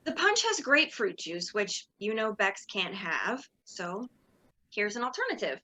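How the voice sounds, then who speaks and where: A woman's voice: mid-range voice, big pitch swings, a little slow, pushed and bright, exaggerated voice. A young woman playing a sardonic, exasperated sorority leader in a dramatic reading, theatrical and emphatic. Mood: coolly formal.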